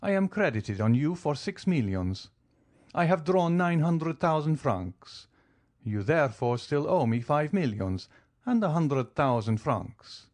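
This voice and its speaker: A man's voice: on the high side, big pitch swings, unhurried, darkish tone, pitched above the performer's own voice. A man voicing a suave, wealthy count who speaks in a polished, teasing, formal way. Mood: cool, composed. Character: suave wealthy male count, coolly ironic.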